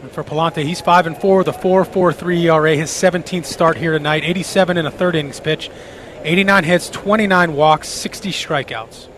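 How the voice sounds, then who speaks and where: A man's voice: a little high, steady tone, brisk, a bit bright, a little hiss or hum. A man giving conversational analysis as the color commentator on a live baseball radio broadcast. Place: ballpark broadcast booth.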